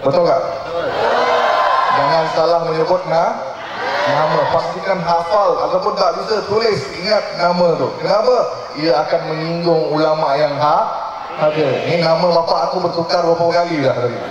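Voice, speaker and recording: A man's voice: a little high, moderate pace. A young Malaysian man preaching live in a playful, teasing way, often putting questions to his listeners. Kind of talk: live sermon with jokes and audience call-and-response. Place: live religious gathering at night, over loudspeakers with a crowd. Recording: echoey mosque PA, muffled, crowd noise.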